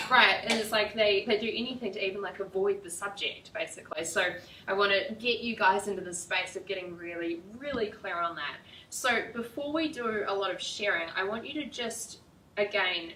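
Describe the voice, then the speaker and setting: A woman's voice: mid-range voice, pushed and bright, slight background noise. A young woman entrepreneur talking in a casual vlog and teaching a workshop, upbeat and gushing with lots of fillers. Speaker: entrepreneur and speaker. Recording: echoey, distant from the mic.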